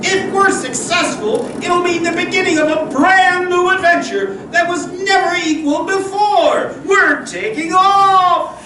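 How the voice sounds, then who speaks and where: A woman's voice: very high-pitched, a little slow, sharp tone, full character voice. A woman playing a confident, gallant space-pilot hero in an old-time radio serial. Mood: eager, confident. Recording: echoey hall, distant mic, clear noise.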